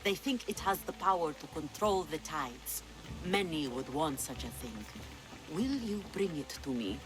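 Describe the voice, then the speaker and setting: A woman's voice: deep voice, animated, measured pace, music in the background, poor audio. An actress playing a sly, imperious, treasure-loving pirate queen of mature years, with a languid, theatrical delivery in a video game cutscene. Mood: teasing, commanding. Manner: languid, commanding, teasing, theatrical.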